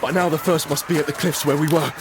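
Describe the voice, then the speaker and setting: An actor playing a frightened survivor in an audio drama, narrating urgently in the first person and present tense in fragmented phrases. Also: male voice, on the high side, steady tone, fast talker, background noise, lightly acted. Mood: frantic, fearful. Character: desperate, frightened male survivor of a monster apocalypse. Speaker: narrator and lead character.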